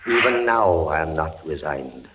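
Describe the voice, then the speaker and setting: An actor playing a tormented, desperate prisoner in a theatrical, halting 1940s radio horror style, on an old radio recording. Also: male voice, a bass voice, expressive, narrow, tinny audio, heavy background noise. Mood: grim resignation. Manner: halting, theatrical, swinging from hushed dread to frantic cries. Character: tormented male prisoner facing slow execution, desperate and brooding. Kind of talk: 1940s radio horror acting, intense dramatic monologue.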